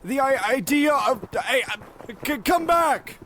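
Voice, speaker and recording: Very high, wailing beggar. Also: male voice, lively intonation, measured pace, bright, forceful tone, a little hiss or hum, full character voice. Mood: panicked. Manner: wailing, stammering. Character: desperate, pleading beggar in a Christmas tale. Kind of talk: comedy radio play acting.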